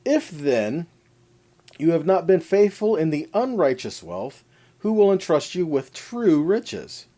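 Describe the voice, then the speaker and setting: A man with a fairly high, very mellow voice gives an informal scripture teaching. He speaks conversationally and directly to his listeners. He drives each point home with repeated phrases and parallel lists. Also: big pitch swings, slow. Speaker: preacher teaching a scripture lesson. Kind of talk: informal sermon with scripture readings.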